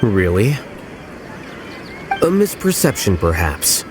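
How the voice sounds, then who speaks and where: Aloof smart game character, lowish voice, blunt n precise, lively pitch swings, loud music under it. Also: male voice, takes it slowly, noisy background, heavily acted. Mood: detached, curt. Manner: cool, matter-of-fact, precise. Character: aloof, blunt young male scholar in high office. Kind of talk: video game voice acting.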